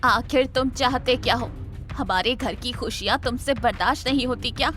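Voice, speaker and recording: Actress doing upset scolding wife, audio drama, really high voice, music under it. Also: female voice, normal speed, fairly bright tone, close to an everyday voice. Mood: angry, scolding. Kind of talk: Hindi audio drama acting.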